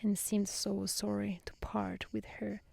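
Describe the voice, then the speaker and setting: A woman reading a fairy tale as soft-spoken ASMR narration, gentle and hushed, often close to a whisper. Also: medium pitch, flat delivery, measured pace, gravelly, no room sound. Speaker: storyteller.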